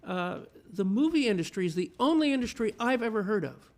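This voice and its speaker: A man's voice: sits high, expressive, brisk, darkish tone. Upbeat Chilean-born man in his fifties. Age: 50s. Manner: enthusiastic storyteller, repeats words when starting. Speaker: film critic, guest. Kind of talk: casual interview conversation.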